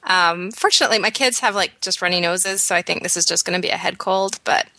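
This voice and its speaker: Sex: woman. Role: podcast co-host. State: hoarse, losing her voice. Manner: quick, talkative, says "like" a lot. Tone: bright. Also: lowish voice, dry recording. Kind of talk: casual chat.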